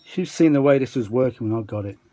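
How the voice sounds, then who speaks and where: A man's voice: mid-range voice, expressive, fast, noisy background. A man giving a casual, rambling hobbyist demonstration, chatty and self-deprecating, with muttered asides. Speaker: vintage audio hobbyist presenting a restoration.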